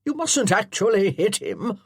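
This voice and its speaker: A narrator voicing an older retired colonel who barks orders at amateur actors in a loud parade-ground manner. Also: medium pitch, sing-song, a little slow, breathy edge, no room sound, clean studio sound, pitched above the performer's own voice, exaggerated voice. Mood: commanding. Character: commanding older male colonel, drill-sergeant bark.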